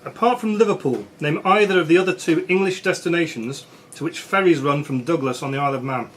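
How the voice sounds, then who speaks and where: Bloke reading out quiz questions in a club, bit higher pitched, some room noise behind. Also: male voice, fast. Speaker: quiz master. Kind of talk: live pub-style quiz, reading questions aloud. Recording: noisy club room recording.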